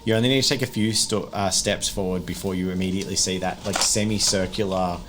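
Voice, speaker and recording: A man's voice: low voice, steady tone, fast talker, noisy background. A man narrating an improvised tabletop game as game master, explaining things in a casual, conversational way.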